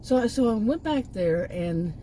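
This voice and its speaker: A woman's voice: on the high side, animated, moderate pace. An older woman telling a rambling story in a casual vlog, her voice fading and strained as she goes. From Texas. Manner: rambling, self-interrupting, chuckling asides. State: losing her voice. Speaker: vlogger. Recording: loud traffic noise outside.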